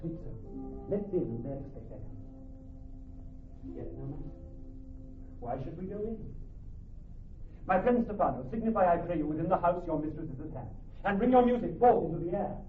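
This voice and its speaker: An actor playing a romantic, alert young gentleman, with formal, poetic delivery in a classical radio drama. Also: sits high, animated, moderate pace, pauses often. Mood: brisk. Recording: tinny narrow-band recording, faint music.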